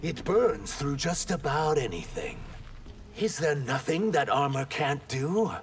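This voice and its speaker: Stern reptilian warrior man, expressive swoops. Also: a little high, unhurried, soft background music. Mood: guarded, stern. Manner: stern, guarded. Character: guarded, proud male reptilian warrior. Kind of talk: dramatic video game dialogue.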